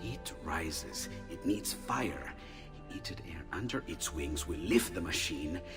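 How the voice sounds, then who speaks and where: An actor playing an excitable, eccentric Renaissance inventor in a video game, with an Italian accent and expressive delivery. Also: male voice, extremely low pitch, slow, full character voice. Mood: focused, instructive. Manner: animated, expressive. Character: excitable, eccentric male Renaissance inventor, gentle and self-doubting. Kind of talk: video game cutscene acting. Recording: long reverb, loud background music.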